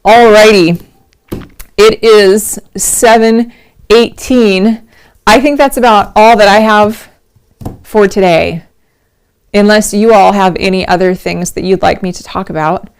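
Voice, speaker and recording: A middle-aged woman talks casually on a livestream from home, rambling and laughing often. Her voice sits at an ordinary pitch and stays smooth and clear, though it has gone a little hoarse. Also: a little slow. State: slightly hoarse. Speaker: host of a knitting livestream.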